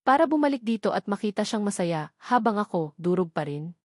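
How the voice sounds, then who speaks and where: A woman with a Filipino accent reading a first-person dramatic love story in an emotive way, with short deliberate phrases. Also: mid-range voice, very animated, no room sound, studio quality. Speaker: story narrator.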